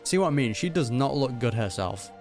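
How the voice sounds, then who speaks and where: A man's voice: mid-range voice, animated, brisk, music in the background. A young man giving casual, slangy reaction commentary on a video game as he plays. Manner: laid-back, slangy. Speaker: gaming reactor.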